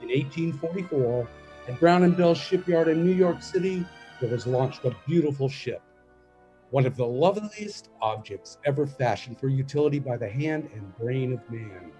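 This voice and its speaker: A man's voice: mid-range voice, animated, normal speed, dark tone, soft background music, low-quality recording. A man narrating and announcing a radio play from a script, in storytelling style.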